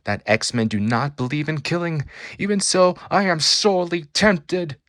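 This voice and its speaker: A man's voice: on the high side, unhurried, a bit bright, no room sound, clean studio sound, pitched above the performer's own voice. A man voicing a regal, proud superhero woman who speaks with grand, solemn, wrathful formality in a comic book read-aloud.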